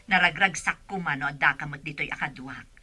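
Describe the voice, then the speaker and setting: Babai nga agak-akting natadem a managreklamo a babai. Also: female voice, deep voice, fairly quick, sharp tone, faint music behind. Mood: annoyed, mocking. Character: nagging, easily offended woman, jealous partner. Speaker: actor in a radio drama. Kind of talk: Ilocano radio drama acting.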